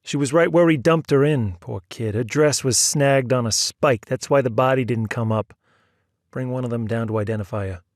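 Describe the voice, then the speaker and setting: A man voicing an impatient male detective partner who talks briskly, in a story reading. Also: lowish voice, fairly even intonation, warm tone, dry recording, studio quality, natural voice.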